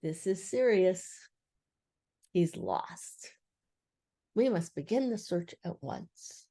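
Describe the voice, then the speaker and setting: A woman voicing a self-important male rabbit in a storybook, officious and brisk in manner. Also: fairly low pitch, animated, mellow, full character voice. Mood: grave, important.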